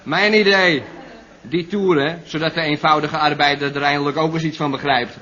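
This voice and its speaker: An actor playing a slangy, rambling young pop musician in an old radio comedy. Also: male voice, on the high side, fairly even intonation, moderate pace, background noise, close to an everyday voice. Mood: mock-earnest. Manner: rambling, slangy, full of tags like "you know". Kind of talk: 1970s Dutch radio comedy acting. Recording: muffled old radio recording.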